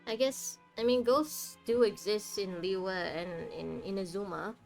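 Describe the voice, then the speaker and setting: A woman's voice: medium pitch, unhurried, gravelly, darkish tone, soft background music, poor audio. A woman streamer casually chatting and joking as she plays a game, talking back to the characters. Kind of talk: casual live game commentary.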